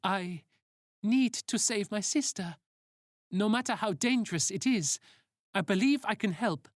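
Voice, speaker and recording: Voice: medium pitch, lively intonation, unhurried, no echo, clean studio sound, voice pushed up, close to an everyday voice. A narrator voicing a nervous but determined young man in a sci-fi story, with an insistent, anxious manner. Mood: nervous resolve.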